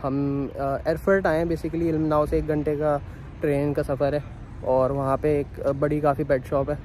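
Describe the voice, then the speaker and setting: A man's voice: on the high side, flat delivery, normal speed, slight background noise. A young man vlogging on a phone held at arm's length, chatting to viewers outdoors and on a train. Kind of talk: casual travel vlog narration. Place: outdoors on city streets and on a train. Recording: loud music under the voice.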